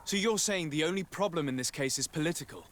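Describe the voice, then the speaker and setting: An actor playing a composed, formal noble envoy who asks polite, pointed questions in a fantasy game. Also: male voice, fairly high pitch, fast, a bit bright, natural voice. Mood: probing. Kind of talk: scripted fantasy game acting.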